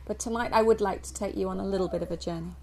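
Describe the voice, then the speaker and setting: A woman from England leading a guided yoga nidra meditation for a group, speaking gently and slowly with long pauses. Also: mid-range voice, poor audio. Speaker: yoga nidra teacher guiding a relaxation. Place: live group session at a camp. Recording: steady ambient noise, live recording.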